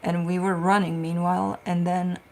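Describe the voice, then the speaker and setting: A woman's voice: on the low side, level tone, moderate pace. A woman, a tarot reader chatting casually on a livestream, telling stories and answering viewer questions. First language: Romanian.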